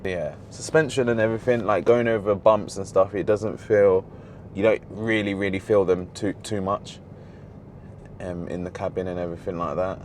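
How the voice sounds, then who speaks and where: A very deep-voiced man talks casually while driving, rambling and correcting himself, his voice slightly hoarse. He is recorded inside a moving car. Road and engine noise hum clearly underneath. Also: steady tone, moderate pace, a little mellow. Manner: rambling, self-correcting, easygoing. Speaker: car reviewer, vlog host.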